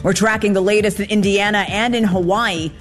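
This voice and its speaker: A woman anchoring a news broadcast, with a crisp, formal delivery. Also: mid-range voice, steady tone, fairly quick, faint music behind.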